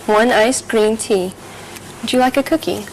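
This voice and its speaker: A woman reading a server's part in a scripted practice dialogue, polite and clearly spoken. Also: on the high side, measured pace, a little hiss or hum, low-quality recording. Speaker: dialogue reader playing a server.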